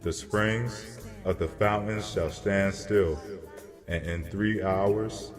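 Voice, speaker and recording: A man playing a solemn angel messenger who delivers grave prophecy in a measured, declamatory way, as in a dramatized scripture reading. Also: low-pitched. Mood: grave, prophetic. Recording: long cavernous reverb, music behind. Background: music.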